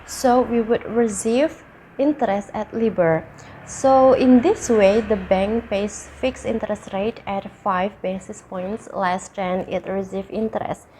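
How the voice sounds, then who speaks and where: A woman's voice: mid-range voice, darkish tone, slight background noise, low-quality recording. A young woman from Indonesia, a student with a nasal voice from being sick, explaining finance homework answers step by step with small restarts. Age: young adult. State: sick with a stuffy nose.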